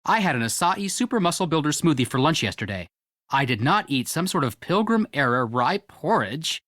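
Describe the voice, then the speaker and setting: Low, animated young ghost hunter. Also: female voice, normal speed, no room sound, studio quality, full character voice. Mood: baffled. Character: earnest, geeky young amateur ghost hunter. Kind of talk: scripted video game voice acting.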